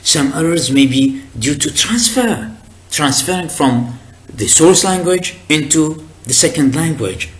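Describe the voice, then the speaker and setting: A man whose first language is Arabic, giving a recorded lecture in a deliberate, explanatory way with frequent hesitations and restarts. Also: a little high, expressive.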